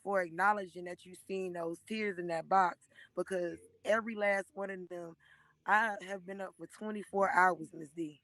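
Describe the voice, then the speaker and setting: A hoarse woman in her thirties gives an emotional, preachy testimony on a live stream, speaking into a phone and often calling listeners by name. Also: lowish voice, fairly even intonation, moderate pace, fairly bright tone, dry recording. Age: 30s. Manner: earnest and preachy, repeatedly addressing listeners by name.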